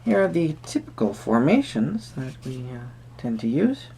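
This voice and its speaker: A performer playing a quirky, friendly tarot reader at a Renaissance fair, speaking to the viewer in halting, theatrical phrases. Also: mid-range voice, sing-song, creaky, mellow, slightly rough, exaggerated voice. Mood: whimsical, musing. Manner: hesitant, theatrical, halting phrasing with sudden emphasis. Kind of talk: improvised first-person roleplay addressed to the viewer.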